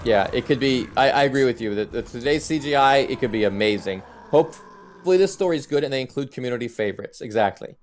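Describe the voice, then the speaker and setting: A man's voice: medium pitch, animated, fast talker, a little hiss or hum. A middle-aged man hosting a live stream, chatting casually and giving his opinions as he answers viewer comments. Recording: music playing behind the voice. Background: background music.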